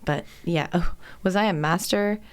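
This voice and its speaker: A woman's voice: lowish voice, moderate pace. An American woman in her thirties chatting casually as a podcast co-host, emphatic and playful.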